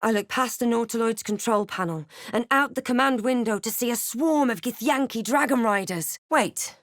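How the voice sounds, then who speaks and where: A woman playing a snarky, wary female adventurer in a fantasy video game, with a crisp, terse and dramatic delivery. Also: fairly high pitch, moderate pace, fairly bright tone, no room sound, clean studio sound, close to an everyday voice. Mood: brooding narration. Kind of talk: fantasy video game lines, short remarks and narrated thoughts.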